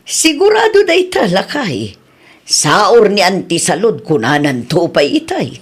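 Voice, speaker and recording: An actress playing a middle-aged village aunt in an Ilocano radio comedy-drama, chatty and expressive, with a Filipino accent. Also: female voice, medium pitch, a little slow, husky, heavily acted. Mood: wry, concerned.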